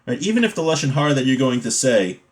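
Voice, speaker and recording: A man's voice: medium pitch, very animated, quick pace. Man teaching an informal religious law lesson. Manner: explanatory, checks in with rhetorical questions.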